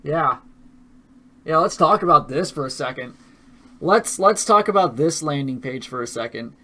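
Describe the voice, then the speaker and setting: A man's voice: a little high, animated, normal speed, pushed and bright. A young man casually commenting with profanity, mocking his own old videos.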